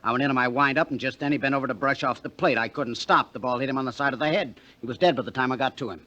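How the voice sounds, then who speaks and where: An actor playing a hot-tempered, guilt-ridden baseball catcher in a vintage American radio drama, with gruff, slangy, clipped talk. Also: male voice, medium pitch, rapid-fire, pushed and bright, dull audio, natural voice. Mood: defensive, bitter. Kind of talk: 1940s-50s American radio drama acting. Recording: vintage radio recording with music.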